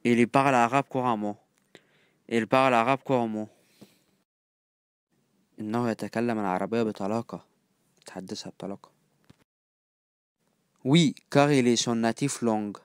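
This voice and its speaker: A man with an Egyptian accent teaching phrases, repeating each one slowly and then glossing it in quick, casual asides. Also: medium pitch, smooth, dry recording, studio quality. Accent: Egyptian Arabic. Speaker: language teacher. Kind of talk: phrase-by-phrase language lesson.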